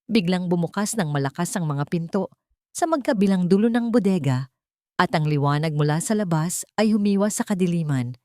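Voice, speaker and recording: A woman's voice: lowish voice, darkish tone, no echo, clean studio sound. A woman reading a scripted, dramatic first-person story as an audio drama narrator.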